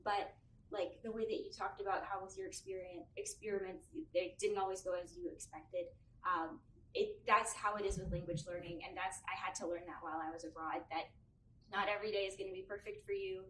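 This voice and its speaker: A woman's voice: medium pitch, steady tone, a little fast, a bit bright. A young woman, a university student, talking earnestly and reflectively in a candid panel discussion. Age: young adult. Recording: roomy, distant mic, faint noise.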